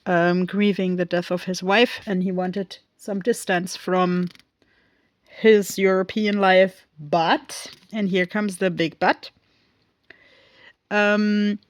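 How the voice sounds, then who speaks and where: A woman recapping a film in a casual, rambling way, with many pauses and fillers. She speaks English as a second language and often goes off on tangents. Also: mid-range voice, fairly even intonation, dry recording. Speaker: film reviewer.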